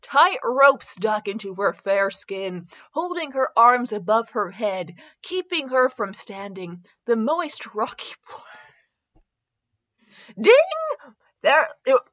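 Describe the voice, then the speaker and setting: Female comedy podcast reader, very animated pitch, measured pace, giggly, mock-dramatic, prone to asides; slightly muffled lo-fi recording. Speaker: podcast host reading fanfiction.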